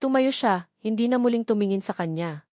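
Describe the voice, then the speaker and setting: A woman with a Filipino accent narrating a scripted romantic audio drama in a measured, dramatic storytelling manner with deliberate pauses. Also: medium pitch, expressive, mellow, dry recording, studio quality.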